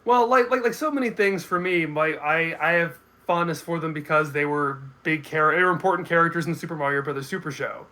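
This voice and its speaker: A man speaking as a guest in a casual chat, explaining details like a knowledgeable fan with halting starts. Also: a little high, sharp tone. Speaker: guest co-host. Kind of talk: casual chat about games.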